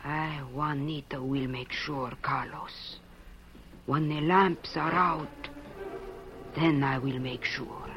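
An actress playing a confident, scheming wife with a deliberate, conspiratorial manner in an old radio western. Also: female voice, sits low, steady tone, a bit bright, faint music behind. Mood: sly, certain. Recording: dull vintage radio recording, faint noise.

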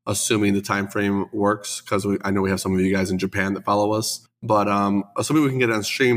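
Congested man chatting on a podcast. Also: fairly low pitch. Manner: chatty, enthusiastic, self-deprecating jokes. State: congested from the flu. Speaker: podcast co-host and store owner. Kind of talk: casual podcast chat.

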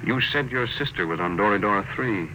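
An actor playing a shrewd, cocksure space tycoon with a wry, commanding manner in an old-time radio drama. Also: male voice, lowish voice, lively intonation, brisk, bright, forceful tone, rough recording. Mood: amused, smug. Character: shrewd, brash, amused space-drive tycoon, a man. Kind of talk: 1950s radio drama acting. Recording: old radio recording with faint noise.

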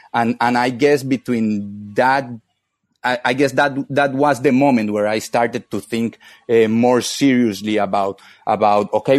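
A man's voice: on the low side, no room sound. A man from northern Colombia, a historian being interviewed about his book, who often stops and restarts his sentences. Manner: halting, many repeated words and restarts. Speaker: historian, author and guest. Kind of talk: academic interview.

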